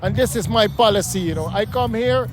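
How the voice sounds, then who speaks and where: A man's voice: sits high, brisk, noisy background. A middle-aged Guyanese man being interviewed on a city street, talking emphatically and often asking "you know what I mean?". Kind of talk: street interview, casual talk.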